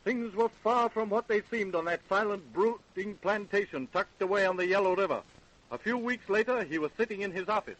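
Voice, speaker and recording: A man's voice: high-pitched, brisk, a bit bright, slight background noise, rough recording. A man playing the announcer-narrator of an old-time radio drama, grave and declamatory in his scripted delivery. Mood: ominous. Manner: portentous, declamatory.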